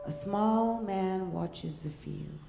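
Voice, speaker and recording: A woman reading a scripted story in a measured, deliberate way, pausing between short phrases. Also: mid-range voice, gravelly. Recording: echoey room, faint music behind.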